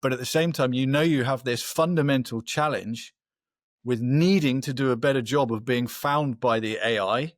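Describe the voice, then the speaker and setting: A man's voice: medium pitch, dry recording. Man explaining in a dry-sounding webinar. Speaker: webinar speaker, marketing expert.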